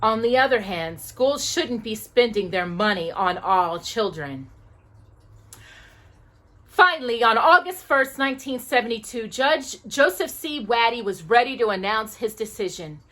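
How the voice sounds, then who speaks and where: Female storytime reader, higher-pitched and unhurried, very expressive, leaning hard on key words. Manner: emphatic, dramatic pauses and stresses on key words. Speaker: storytime host reading a children's book aloud. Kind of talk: animated children's read-aloud with asides to a listener.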